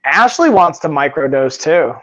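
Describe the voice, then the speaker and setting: A man co-hosting a live podcast, chatting casually in a relaxed way and reacting quickly to stories. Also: a little high. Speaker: podcast co-host. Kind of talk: casual live-stream chat. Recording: dull lo-fi audio.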